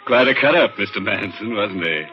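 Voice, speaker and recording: A man's voice: lowish voice, lively intonation, brisk, natural voice. A man playing a gleeful, ghoulish 1940s radio horror host, sardonic and mock-confiding as he delivers morbid puns. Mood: gleefully morbid. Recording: muffled old radio with music.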